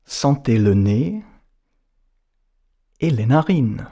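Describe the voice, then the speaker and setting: Very slow, creaky man guiding relaxation. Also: medium pitch, lively intonation, warm tone. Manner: measured, instructional, with short separated phrases. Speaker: yoga and meditation teacher. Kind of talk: guided relaxation narration.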